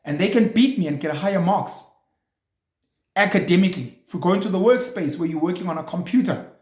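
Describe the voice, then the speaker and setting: Male host, slightly high voice, provocative and emphatic monologue, echoey room. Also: animated, a little fast, darkish tone. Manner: provocative, rhetorical questions, emphatic. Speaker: show host. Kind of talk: opinionated monologue.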